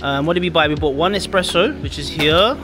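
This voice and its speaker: Rambling guy filming himself walking round an outdoor cafe, slightly high voice, music under it. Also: a little fast. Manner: chatty, rambling, self-deprecating asides. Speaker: vlogger. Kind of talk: casual travel vlog narration. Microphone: handheld camera.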